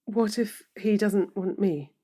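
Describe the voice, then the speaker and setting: An actress playing an introspective, emotionally exposed middle-aged woman lawyer in an audio drama, speaking in a measured, earnest way. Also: medium pitch, mellow, breathy edge. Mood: uneasy.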